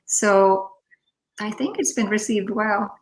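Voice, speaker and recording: A woman whose first language is Finnish, answering questions as a poet in a halting, reflective way over a video call. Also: mid-range voice, rough recording. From Denmark. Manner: halting, reflective, searching for words. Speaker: poet guest. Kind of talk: casual Q&A answers.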